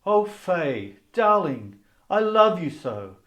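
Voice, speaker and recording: A man's voice: sits high, sing-song, takes it slowly, music in the background, exaggerated voice. A man playing a jaded, sardonic older radio actor in a comedy about a long-running serial. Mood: melodramatic, romantic.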